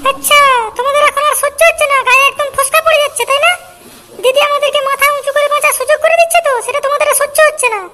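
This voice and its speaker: A man voicing a furious, bullying cartoon wife who scolds and threatens, with a West Bengal accent and a pitched-up voice effect. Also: sharp tone, a little hiss or hum. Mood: domineering. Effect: pitched-up voice changer. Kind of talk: amateur comic cartoon dubbing.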